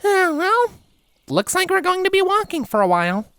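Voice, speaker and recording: A man's voice: very high-pitched, very animated, moderate pace, sharp tone, big-room sound, voice pushed up, exaggerated voice. A man voicing a young female pony traveler, good-humored and thoughtful, musing expressively in a storybook reading. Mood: resigned.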